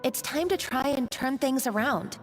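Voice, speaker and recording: A woman's voice: sits high, expressive, moderate pace. A woman playing an earnest, modest but resolute young chef in a video game. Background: music.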